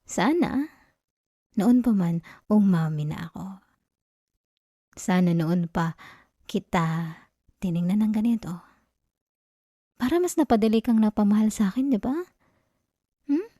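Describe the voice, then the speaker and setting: A woman playing a teasing, possessive young yandere girlfriend in an intimate ASMR roleplay, soft and breathy with a Filipino accent, coaxing and often slipping into whispers. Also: mid-range voice, lively intonation, dry recording, studio quality. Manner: slow, coaxing, giggly teasing. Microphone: close, dry ASMR microphone.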